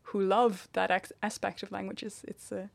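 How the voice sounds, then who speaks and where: A woman interviewing a guest in a relaxed chat, friendly and quick to laugh. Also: medium pitch, creaky, no echo. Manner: chatty, laughs often, many back-channel yeahs.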